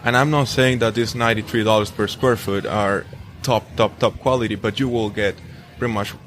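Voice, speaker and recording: A man's voice: lowish voice, fairly even intonation, brisk, a little hiss or hum. A man, a builder on a round-table talk, making his points emphatically and checking for agreement. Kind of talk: casual round-table chat.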